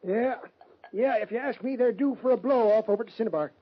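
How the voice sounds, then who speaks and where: A man playing a talkative, folksy frontier local in an old-time radio western, with husky, rustic speech. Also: extremely high pitch, brisk, a little hiss or hum. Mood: chatty, eager. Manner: colloquial, chatty rustic talk. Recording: dull old radio recording.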